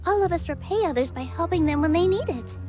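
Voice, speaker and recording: A woman's voice: very high-pitched, expressive, quick pace, loud music behind the voice. A woman voicing a game character in a cheerful, encouraging way.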